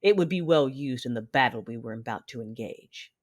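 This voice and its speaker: A woman as an expressive, imperious dark warlord. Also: a bass voice. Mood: commanding. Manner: measured, imperious. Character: commanding, calculating dark-side warlord mother in armor. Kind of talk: first-person dramatic narration.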